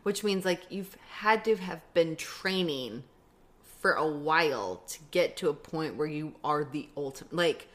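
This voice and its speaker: A woman's voice: low-pitched, expressive, measured pace. A woman in her thirties with a slight lisp, chatting casually as a podcast co-host and peppering her talk with "like". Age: 30s. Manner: chatty, fills speech with "like". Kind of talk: casual chat recapping a sitcom episode.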